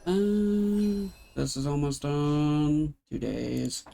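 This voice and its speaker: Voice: mid-range voice, expressive, drawn-out words, vocal fry, dark tone. A game streamer giving casual, offhand, laid-back commentary while playing live. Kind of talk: casual livestream gameplay commentary. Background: faint game music.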